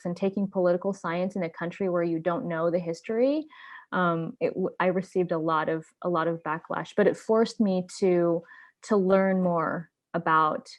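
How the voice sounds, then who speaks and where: Woman, English speaker, lower voice, thoughtful, restarts words, interview guest. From grew up in Japan and Indonesia. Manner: thoughtful, repeats words as she thinks. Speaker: interview guest, community activist. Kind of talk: casual interview talk.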